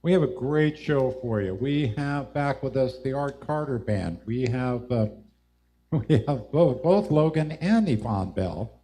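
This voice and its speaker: A man's voice: mid-range voice, lively intonation, measured pace, mellow. A man casually hosting a live music show. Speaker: host and band singer. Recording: roomy live sound with music.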